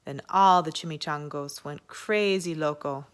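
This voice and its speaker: Female children's story reader. Expressive narration, lower-pitched voice. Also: unhurried, clean tone. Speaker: story reader at an elementary school.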